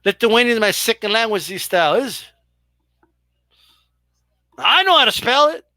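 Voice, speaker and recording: A man's voice: extremely high pitch, very animated, moderate pace, bright, forceful tone, dry recording. A young man hosting a live boxing stream, chatting casually and profanely with his viewers. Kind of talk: casual live commentary to chat.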